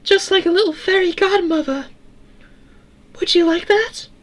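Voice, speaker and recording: Storybook robot girl, male performer: kindly wish-granter, squeaky-high tinny falsetto, gentle and coaxing. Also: normal speed. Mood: sweetly coaxing. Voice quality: tinny, soft girlish falsetto. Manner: kind, coaxing. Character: tinny little female robot, sweet fairy-godmother wish granter.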